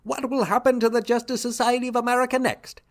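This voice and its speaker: A man's voice: very high-pitched, level tone, fast. A man narrating and announcing in a theatrical 1940s radio style. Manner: showy, upbeat, theatrical.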